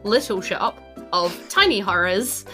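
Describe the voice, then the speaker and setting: A woman hosting as dungeon master, narrating a tabletop game recap in an excited way. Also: mid-range voice, measured pace, over loud music.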